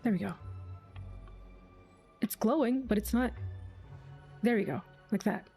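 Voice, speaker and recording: A woman's voice: a little high, measured pace, a little mellow. A young adult woman giving casual gameplay commentary as a streamer, chatty and thinking aloud. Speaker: game streamer. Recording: faint game music behind the voice.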